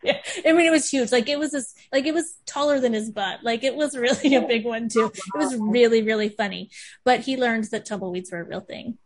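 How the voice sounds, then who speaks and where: A chatty woman hosts a podcast, gushing about her guest. Her voice sits a touch high, and her talk is loose and full of fillers and easy laughter. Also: brisk. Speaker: podcast host. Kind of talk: casual chat.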